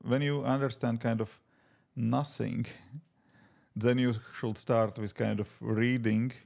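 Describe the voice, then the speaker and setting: A man's voice: medium pitch, steady tone, takes it slowly, creaky, a little mellow. A man from Latvia speaking English with a Latvian accent, chatting casually on a live coding stream and thinking aloud with many fillers. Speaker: app developer streaming live. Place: home desk.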